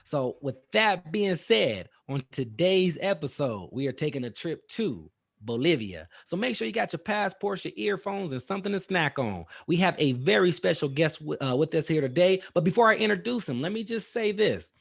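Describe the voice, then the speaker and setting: A man hosting a casual travel podcast in an upbeat, energetic way. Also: on the high side, normal speed, vocal fry. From USA. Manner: upbeat, energetic host patter. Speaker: podcast host.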